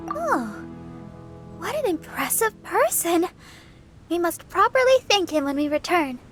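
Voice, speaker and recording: A woman's voice: very high voice, sing-song, measured pace, a bit bright, soft background music, heavily acted. A woman voicing a small, innocent and eager little girl in a video game. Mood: earnest.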